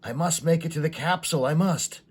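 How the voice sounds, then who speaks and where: A man's voice: on the high side, brisk, slightly rough. A man voicing a panicked, desperate human explorer from a comic story, with dramatic, urgent declarations.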